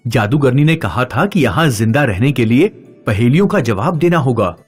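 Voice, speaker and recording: A man voicing earnest family men on a magical adventure in a children's animated story. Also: medium pitch, normal speed, slightly rough, soft background music.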